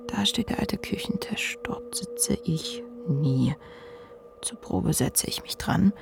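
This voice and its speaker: Whispering, creaky, drained inner voice. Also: female voice, on the low side, expressive, slow, over music, heavily acted. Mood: numb, drained. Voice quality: breathy, whispered. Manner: hushed, intimate, fragile. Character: despairing, drained inner voice of a suicidal person. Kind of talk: literary radio drama inner monologue.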